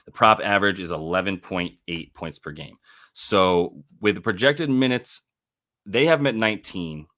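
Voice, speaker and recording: Young guy w a deep voice hosting a betting stream, rambly, keeps correcting himself. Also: a bit bright. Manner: rambling, self-correcting, thinking aloud over numbers. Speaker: sports betting show host. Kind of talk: casual livestream chat.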